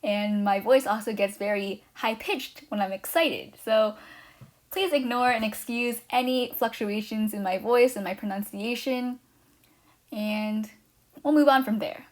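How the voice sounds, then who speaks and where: A woman with a slightly higher voice introduces her language course. She talks quickly and eagerly, with a touch of nervous energy, reading from a prepared script. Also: steady tone. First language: not Chinese. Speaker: language teacher, channel host.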